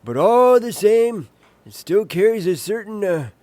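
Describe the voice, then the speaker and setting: Folksy old-time shop owner: high voice, unhurried, lilting, warmly cryptic, for comedy audio drama. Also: male voice, lively intonation, dry recording. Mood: nostalgic. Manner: folksy, knowing, savouring words. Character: warm, nostalgic, cryptic male thrift store owner.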